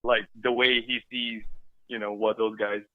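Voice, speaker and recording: A man from Brazil with a Brazilian Portuguese accent, a film director speaking as an interview guest in an animated way, often repeating words as he starts sentences. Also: on the low side, normal speed, no room sound, phone-quality sound. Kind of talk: casual interview talk.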